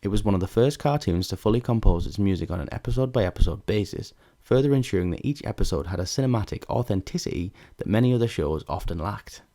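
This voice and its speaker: Fast-talking deep-voiced man narrating. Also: expressive, warm tone. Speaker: video essay narrator. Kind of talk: scripted narration.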